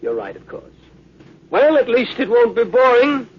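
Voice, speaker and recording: A man's voice: very high-pitched, huge pitch swings, normal speed, heavily acted. A man playing a wry, worried middle-aged ship's doctor in a vintage radio drama. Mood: gloomy, wry. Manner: wry, questioning. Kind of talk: 1950s radio drama acting. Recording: muffled, faintly noisy old radio recording.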